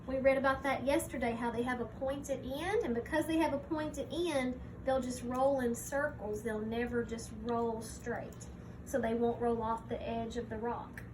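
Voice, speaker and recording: A woman teacher reading a picture book aloud to young children, pausing to explain things simply and point them out. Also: fairly high pitch, steady tone, background noise.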